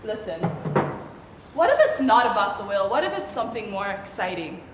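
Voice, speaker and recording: A woman's voice: medium pitch, very animated, normal speed, close to an everyday voice. A woman playing a rich businessman's ambitious, teasing daughter in a stage play, projecting sardonic banter. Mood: proud, eager. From Tanzania. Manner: sardonic sibling banter, projected theatrical delivery. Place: theatre stage, picked up from a distance. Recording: echoey theatre, distant mic, faint noise.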